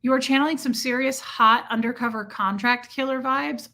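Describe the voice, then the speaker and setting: A chatty woman hosts a relaxed livestream, reacting to her viewers' comments as they come in. Her voice sits a little high and sounds bright and clear. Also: moderate pace. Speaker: livestream host reading chat. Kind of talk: casual livestream chat. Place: home room with a heater.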